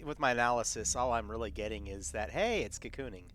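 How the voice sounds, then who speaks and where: A man playing a tabletop roleplaying game, chatting casually and haltingly, repeating words as he thinks. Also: medium pitch, fairly bright tone, a little hiss or hum. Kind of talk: casual in-character game chat.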